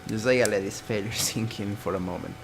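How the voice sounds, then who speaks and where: A man's voice: fairly low pitch, brisk, creaky, background noise. A man reading a visual novel aloud as a let's player, narrating dramatically and dropping into casual asides. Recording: guitar music behind.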